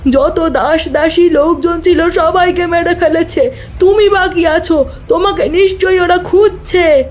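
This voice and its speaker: A woman's voice: very high-pitched, a little slow, darkish tone. A woman playing a devoted, anxious governess in an audio drama, speaking emphatically and with emotion. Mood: terrified, urgent. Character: devoted governess, anxious and protective of her young charge. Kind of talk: dramatised audio story acting. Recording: music behind the voice.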